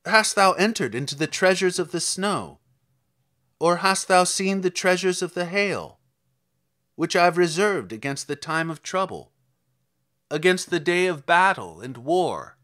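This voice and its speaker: Guy reading as stern god from the whirlwind, bright forceful voice, slow solemn declaiming, bit high. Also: expressive, heavily acted. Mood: stern challenge. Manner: declamatory, solemn rhetorical questioning. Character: God speaking from a whirlwind, stern, commanding, challenging. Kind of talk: dramatic scripture reading in archaic English.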